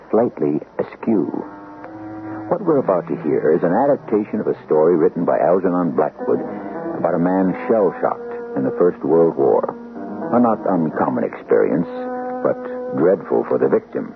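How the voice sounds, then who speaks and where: Male mystery-radio host: bottomless creaky voice, theatrical and ominous narration, thin broadcast sound over music. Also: a bass voice. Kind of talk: scripted dramatic radio narration. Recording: muffled narrow-band old radio broadcast.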